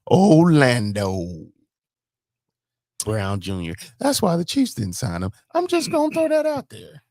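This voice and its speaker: A man's voice: medium pitch, huge pitch swings, measured pace, darkish tone, no room sound, clean studio sound. An American man co-hosting a sports podcast, talking casually and emphatically about football with strong opinions, repeating phrases to drive them home.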